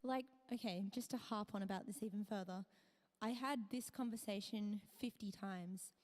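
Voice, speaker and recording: A woman streamer chatting casually with her viewers, her voice hoarse and tired, half whispering. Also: mid-range voice, normal speed, in a large hall, a little hiss or hum. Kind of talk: casual live-stream chat.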